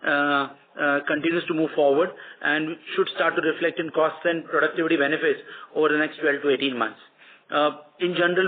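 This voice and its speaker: Over a narrow, phone-like conference line, an Indian-accented man speaks in a slightly high voice. He delivers financial results like a company executive, formal and measured, often hesitating between phrases. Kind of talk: prepared earnings call commentary. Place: conference call.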